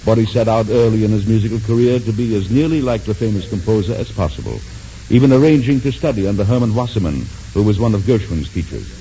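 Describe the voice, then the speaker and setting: A muffled 1940s radio recording with faint hiss carries a man's very dark, lowish voice. He introduces a concert formally, in stately, measured wording. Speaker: concert announcer.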